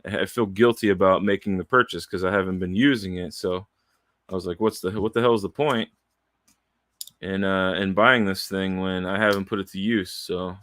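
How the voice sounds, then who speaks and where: A man in his late thirties rambling candidly into his home microphone, profane and wandering, with restarts and trailing pauses. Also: low-pitched, steady tone, fairly bright tone. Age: 30s. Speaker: aspiring voiceover artist. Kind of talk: casual rambling monologue. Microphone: studio microphone, close and dry.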